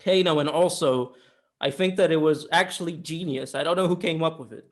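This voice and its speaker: Higher-voiced man in casual review chat. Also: fairly quick. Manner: informal, sweary, emphatic. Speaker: podcast co-host reviewing films. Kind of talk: casual film discussion.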